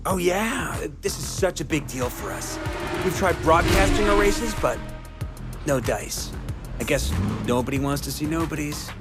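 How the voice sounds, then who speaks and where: An actor playing the eager, upbeat best buddy of a rookie racer in a video game, casual and enthusiastic. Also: male voice, fairly high pitch, animated, unhurried, over loud music. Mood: eager, grateful. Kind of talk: video game cutscene acting.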